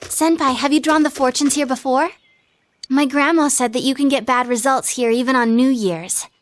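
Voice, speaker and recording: Woman as a high-voiced, coy teen idol girl. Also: normal speed, close to an everyday voice. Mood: sweet. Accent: American. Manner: cutesy, coy, playful. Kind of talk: anime video game dub acting.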